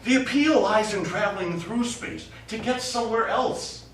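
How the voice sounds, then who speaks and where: Guy onstage as astronaut defending himself to the crew, highish voice, halting, super echoey, bit of hiss. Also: a bit bright. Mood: earnest, insistent. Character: quiet, guarded male astronaut explaining himself to his crew. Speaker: stage actor in a short play. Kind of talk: live stage play dialogue. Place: small theater studio, recorded from audience distance. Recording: echoey hall, distant mic, faint noise.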